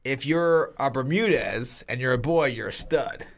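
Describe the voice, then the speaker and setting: A man's voice: mid-range voice, measured pace. A man in his thirties co-hosting a casual chat, joking and cursing freely, often restarting his sentences. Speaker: podcast co-host, retired fighter.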